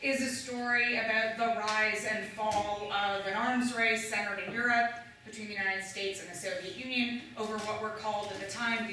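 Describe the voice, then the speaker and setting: A woman's voice: mid-range voice, pushed and bright, slight background noise. A female historian from Canada speaking as a guest at an academic panel, fluent and lightly emphatic. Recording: echoey room, far from the mic.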